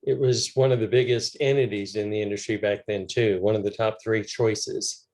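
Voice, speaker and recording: A man, slightly hoarse, answering interview questions in a relaxed, matter-of-fact way. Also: on the low side, moderate pace. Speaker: guest, talent agency founder. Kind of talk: casual interview chat.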